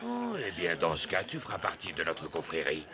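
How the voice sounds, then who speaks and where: An actor playing a brash, mocking leader of beggars in a French cartoon dub, gravelly and theatrical. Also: male voice, deep voice, huge pitch swings, moderate pace, crowd noise. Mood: menacing. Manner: theatrical, taunting.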